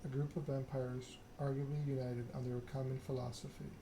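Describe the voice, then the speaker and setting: Soft-spoken man with little pitch movement, noisy background. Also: little pitch movement, a little fast. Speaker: game streamer, host. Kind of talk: soft-spoken ASMR reading and stream chat.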